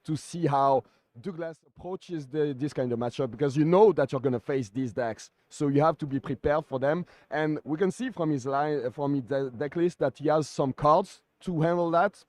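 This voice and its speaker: A man co-commentating a card game tournament in a conversational, somewhat halting way. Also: on the high side, a little mellow, no echo.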